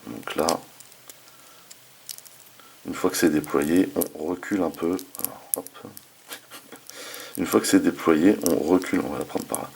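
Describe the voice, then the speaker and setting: A man's voice: low voice, unhurried, vocal fry, pauses often, darkish tone, slightly rough. A man hosting a casual hands-on toy review, talking informally with slangy enthusiasm. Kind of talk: casual hands-on product demonstration.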